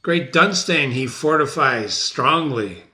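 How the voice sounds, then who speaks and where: A man's voice: fairly high pitch, expressive, slow pace, bright, forceful tone, heavily acted. A man voicing vengeful rebel noblemen rallying before battle, declaiming in a dramatic reading of a stage tragedy. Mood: urgent, martial.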